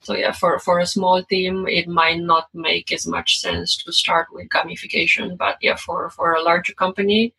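A woman with a Romanian accent talks through ideas as a podcast guest, pausing on fillers and restarting her phrases. Her voice sits fairly low, with a husky, gravelly edge and a bright tone. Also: level tone, rough recording. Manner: hesitant, many fillers and restarts, light laughs. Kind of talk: casual podcast interview.

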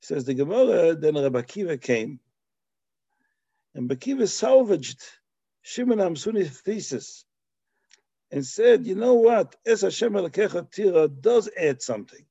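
A man's voice: high-pitched, lively intonation, normal speed, warm tone, husky, no echo, dull audio. A man raised in Belgium giving a Talmudic lecture, explaining passages with Hebrew and Yiddish terms and frequent restarts. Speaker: Torah teacher.

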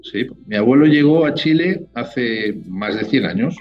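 A man's voice: medium pitch, expressive, unhurried, lo-fi sound, music in the background. A man from Spain with a Spanish accent, a winemaker talking casually as an interview guest, rambling and full of tag questions.

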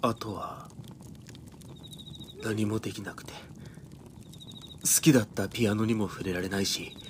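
A man's voice: lowish voice, noisy background, lightly acted. An actor playing a timid, depressed young man in an audio drama, hesitant and polite.

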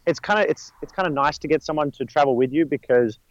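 A man's voice: fairly high pitch, fast talker, no room sound. Australian guy rambling on in an interview, lotsa ums, kinda muffled dry audio. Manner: rambling, many fillers and restarts. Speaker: guest, stuntman. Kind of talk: casual interview talk.

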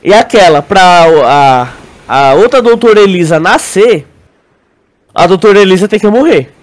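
A Brazilian man with a notably high voice talks as a podcast co-host. He explains things haltingly, doubling back on words and regularly asking for agreement in a casual, conversational way. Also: expressive. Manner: halting, repeats words, often checks listener agrees. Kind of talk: casual podcast discussion, theorizing.

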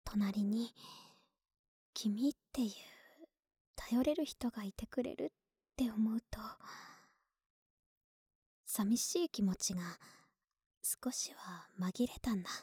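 A woman playing an affectionate, slightly clumsy young neighbor with a big-sister air in an ASMR voice drama, speaking softly and intimately, often in a whisper. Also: on the high side, slow, pauses often, no room sound, clean studio sound, exaggerated voice. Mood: tender, confiding. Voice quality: breathy. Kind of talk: Japanese ASMR situation voice drama.

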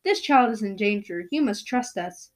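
A woman voicing a gentle, calm tentacled alien beast in a read-aloud storybook, speaking in a plain, measured way. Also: mid-range voice, close to an everyday voice.

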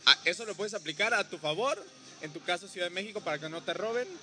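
A man's voice: sits high, fast talker, bright, forceful tone, soft background music. A man from Veracruz with a Mexican accent, hosting a casual podcast interview in an easygoing way and often jumping in with short replies. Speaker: podcast host, stand-up comedian.

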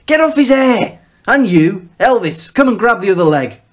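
A man voicing a boyish, bossy young male traveller with a Mancunian accent, yelling in exasperation. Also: mid-range voice, expressive, normal speed, natural voice.

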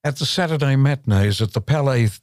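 A man's voice: mid-range voice, quick pace, darkish tone, no room sound, clean studio sound. An older man from Australia, co-hosting a podcast. He is playful and wry in casual chat, prompting the others with questions. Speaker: podcast co-host.

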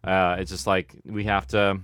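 A man's voice: sits low, flat delivery, normal speed, fairly bright tone, smooth, no room sound, clean studio sound. A man chatting casually in an interview as a producer and director, explaining at length with hesitant starts. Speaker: audio fiction producer and director, interview guest.

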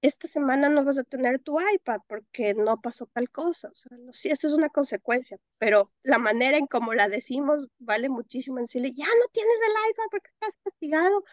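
Expressive Ecuadorian woman, interview guest. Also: sits high, brisk, hardly any pauses, no echo, lo-fi sound. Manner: expressive, laughs often, mixes in English words. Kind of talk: interview answers.

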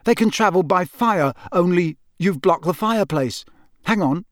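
A man's voice: high-pitched, expressive, moderate pace, fairly bright tone, no room sound, studio quality, pitched above the performer's own voice. A man voicing an earnest young teenage boy in a storybook, explaining things in an urgent, helpful way. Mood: amused explaining.

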